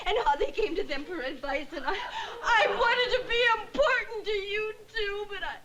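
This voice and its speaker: An actress playing a childlike, self-blaming young wife, wailing and pleading in a classic TV sitcom. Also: female voice, very high voice, expressive, a little slow, very noisy. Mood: weepy, self-blaming. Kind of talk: classic TV sitcom acting before an audience. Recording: loud studio audience laughter.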